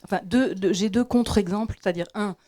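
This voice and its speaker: A woman from Belgium, asking a reflective question from the audience with many pauses and restarts. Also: medium pitch, mellow. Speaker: audience member. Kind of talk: question from the floor.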